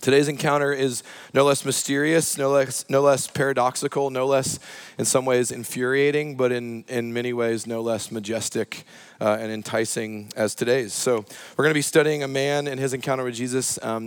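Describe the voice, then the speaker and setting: A hoarse-voiced man preaches in a loose, chatty way, dotted with ums and uhs and joking at his own expense. His voice sits at an ordinary middle pitch. The sound is close and dry, with little room around it. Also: flat delivery, normal speed, dry recording. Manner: chatty and self-deprecating, many ums and uhs. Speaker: pastor. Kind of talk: sermon. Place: church service.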